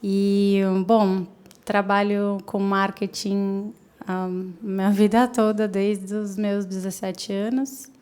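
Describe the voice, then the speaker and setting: A woman's voice: mid-range voice, little pitch movement, slow, a little mellow, clear, resonant tone. A woman in her late thirties with a São Paulo accent, chatting as a podcast guest, laughing often and trailing off with fillers. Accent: São Paulo Brazilian Portuguese. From São Paulo, Brazil. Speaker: podcast guest, marketing professional. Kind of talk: casual interview chat.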